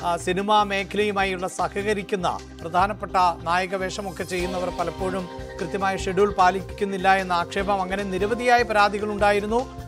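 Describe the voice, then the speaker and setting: A man's voice: sits high, steady tone, moderate pace. A middle-aged man with a Kerala accent presenting live television news. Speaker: news anchor. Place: TV news studio. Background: news music bed.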